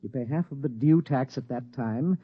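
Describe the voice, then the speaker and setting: Actor as an officious, echoing tax agent. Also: male voice, medium pitch, breathy edge, close to an everyday voice. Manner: measured, formal, condescending. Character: formal, officious male federal tax agent. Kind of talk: mid-century radio drama acting. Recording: dull, roomy old radio recording.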